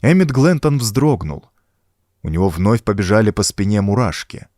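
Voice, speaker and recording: A man's voice: on the low side, sing-song, moderate pace, a little mellow, dry recording, studio quality. A man reading a horror story as an audiobook narrator, in a dramatic scripted style.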